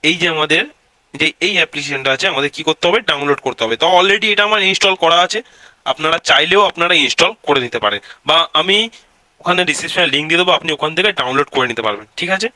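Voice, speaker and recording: A man's voice: a little high, lively intonation, racing, bright, forceful tone, dry recording, poor audio. A man hosts a casual step-by-step tech tutorial, addressing viewers directly and repeating his instructions.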